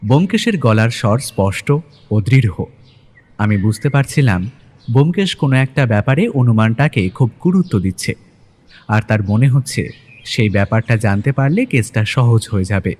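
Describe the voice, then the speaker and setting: A man's voice: medium pitch, animated, moderate pace, darkish tone, breathy edge. A man narrating a detective story in the first person, in a dramatic scripted audio-story style. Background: background music.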